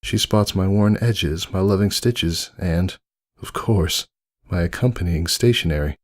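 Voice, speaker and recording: A man voicing a wistful, devoted old stitched toy, narrating a dramatic fan fiction story in an earnest, measured way. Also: low-pitched. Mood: hopeful, tender. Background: music under the voice.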